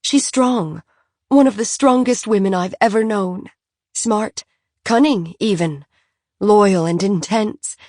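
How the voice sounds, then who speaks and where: A woman's voice: medium pitch, takes it slowly, a little mellow, no room sound, clean studio sound, voice pushed up. A woman reading an audiobook, voicing a genteel, fussy mother who talks in a prim, gushing and insistent way. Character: genteel fussy francophile mother, high-strung.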